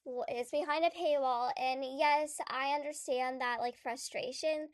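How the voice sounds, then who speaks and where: Young woman vlogger, high voice, stuffy with a cold, chatty with lots of fillers; dry close mic. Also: steady tone, measured pace, dry recording. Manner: chatty and rambling, many fillers and restarts. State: congested from a cold. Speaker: gaming YouTuber. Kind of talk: casual vlog commentary.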